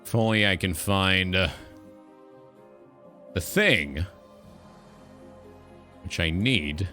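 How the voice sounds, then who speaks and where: A man's voice: low-pitched, expressive, extremely slow, pushed and bright, faint music behind. A man hosting a live stream in a casual, informal talk show style, swearing freely.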